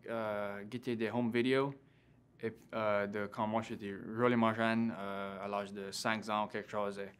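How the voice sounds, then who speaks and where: A young man in his twenties with a Cajun French accent, talking as an interview guest, halting and full of fillers and restarts. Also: on the low side, level tone, clean tone. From Louisiana. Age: 20s. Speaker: interview guest, graduate student. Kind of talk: casual interview conversation.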